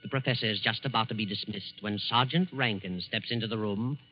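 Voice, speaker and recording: Narrator: American male, dramatic 1940s radio delivery with pauses, dull vintage broadcast sound, music underneath. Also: fairly low pitch. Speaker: narrator and announcer.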